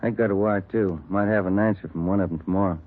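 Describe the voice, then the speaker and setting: An American actor playing a weary, plain-spoken police detective sidekick in an old radio drama, talking in clipped, laconic sentences. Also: male voice, sits low, steady tone, quick pace. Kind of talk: 1950s radio drama acting. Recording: thin, tinny old radio broadcast.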